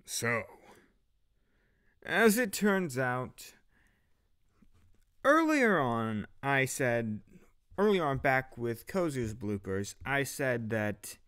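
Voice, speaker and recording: A man's voice: mid-range voice, big pitch swings, drawn-out words, long pauses, fairly bright tone, clear, resonant tone. A man chatting casually behind the scenes as an audio drama director, with self-deprecating asides.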